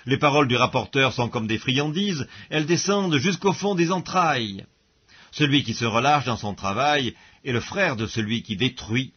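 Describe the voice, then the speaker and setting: A man reads scripture aloud as an audiobook narrator, solemn and measured, with formal diction, on a dull recording. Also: mid-range voice, lively intonation, husky.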